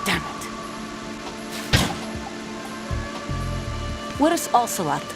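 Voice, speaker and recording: An actress playing a defiant, bitter young mercenary commander in a video game, with a curt manner. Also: female voice, medium pitch, very animated, normal speed, over music. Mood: tense, distrustful. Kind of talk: dramatic video game cutscene acting.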